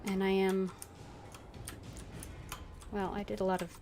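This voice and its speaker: A woman live-streaming a video game, thinking aloud in a casual, unhurried and halting way. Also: medium pitch, completely flat delivery, dark tone, a little hiss or hum. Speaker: gaming streamer.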